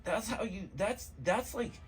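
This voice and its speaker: Excitable high-pitched man rambling with animated swings. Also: measured pace. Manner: slangy, profane, excitable, meandering storytelling. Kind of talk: rambling casual comedic movie recap voicemail.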